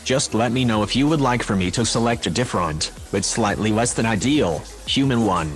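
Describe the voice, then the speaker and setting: A synthetic male voice playing a calm, helpful AI house assistant in a sci-fi audio drama, courteous and formal. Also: fairly low pitch, brisk, faint music behind, close to an everyday voice, rough recording. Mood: polite, helpful. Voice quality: synthetic text-to-speech sound.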